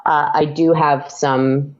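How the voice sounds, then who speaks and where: A woman's voice: low voice, little pitch movement, slow pace, lo-fi sound. A woman chatting casually as an interview guest. She talks freely with lots of fillers and laughs often. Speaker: podcast guest.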